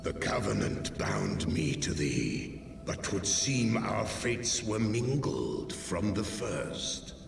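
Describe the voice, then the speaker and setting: An actor playing an ancient, proud male dragon in a fantasy game, with a deep gravelly voice and stately, archaic, solemn speech. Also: big pitch swings, takes it slowly, over music, heavily acted. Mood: solemn respect. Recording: cavernous echo, distant, noisy ambience.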